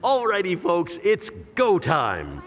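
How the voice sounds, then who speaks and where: An actor playing a cheerful veteran bus tour guide and relentless dad-joker in a radio play, with a showy, punning delivery. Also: male voice, high-pitched, huge pitch swings, slow, a bit bright, faint music behind, full character voice. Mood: jovial, showy.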